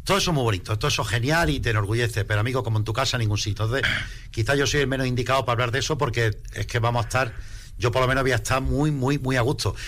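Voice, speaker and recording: A man with a Spanish accent from Spain talks casually in an interview. His voice sits at a middle pitch and he leans on points by repeating them. There is faint noise behind him. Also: fairly bright tone. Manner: emphatic, repeats phrases. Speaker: singer in a rumba band.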